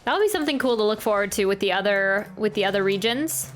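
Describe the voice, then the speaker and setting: A woman's voice: mid-range voice, lively intonation, quick pace. A woman doing casual gameplay commentary as a streamer, musing playfully and reading game text aloud. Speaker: gaming streamer. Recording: voice over game music. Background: game music.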